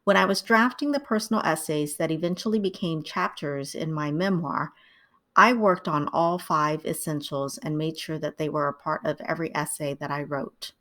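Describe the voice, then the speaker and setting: A middle-aged woman, born in Vietnam and raised in the United States, calmly narrating a scripted writing tutorial. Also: sits low, moderate pace.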